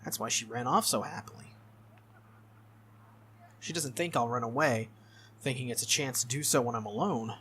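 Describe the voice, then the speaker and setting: A game playthrough host reading visual novel text aloud as expressive narration, with casual asides. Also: mid-range voice, moderate pace, long pauses, slight background noise.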